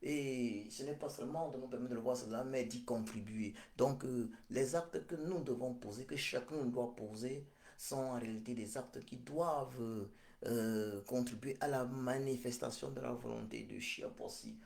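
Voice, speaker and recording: A man, slowly and hesitantly, circling back over his own phrases. His voice has a gravelly rasp at a middle pitch. The room around him rings with echo. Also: animated, darkish tone. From Cameroon. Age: 40s. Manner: slow, repetitive, hesitant with many pauses and fillers. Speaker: political speaker. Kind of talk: slow prophetic address.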